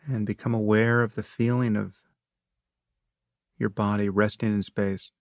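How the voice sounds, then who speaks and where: A man leading a guided meditation, speaking in a measured, unhurried way with long pauses and calm instruction. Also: lowish voice, creaky, no echo, studio quality.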